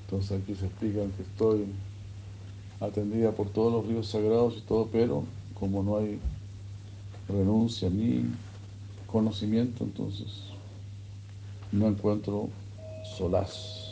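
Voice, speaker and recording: A man giving a sermon, retelling a scripture story in a slow, measured way. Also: on the low side, level tone, creaky, mellow, a little hiss or hum, rough recording. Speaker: religious speaker.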